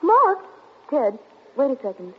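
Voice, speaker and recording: An actress playing a charming young wife who speaks lightly and affectionately but deflects questions, in 1940s radio acting style. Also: female voice, sits high, wildly swooping pitch, unhurried, heavily acted. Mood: pleading. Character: charming young wife, sweet yet evasive. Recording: tinny noisy 1940s radio broadcast.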